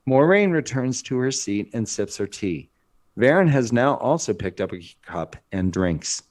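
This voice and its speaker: A man narrating a screenplay aloud in a dramatic, deliberate scripted style. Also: medium pitch, sing-song.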